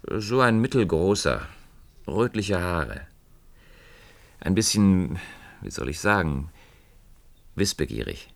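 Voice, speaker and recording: A man's voice: low voice, very animated, takes it slowly, creaky, long pauses, full character voice. An actor in a German science fiction radio play, playing an earnest, inquisitive company man with a thoughtful, probing manner.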